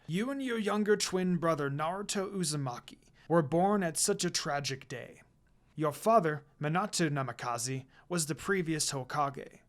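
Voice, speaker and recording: A reader plays a kindly old grandfather and village elder in a fan fiction story. He speaks in a measured, solemn and gentle way, offering calm reassurance. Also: mid-range voice, close to an everyday voice. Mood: solemn, reassuring.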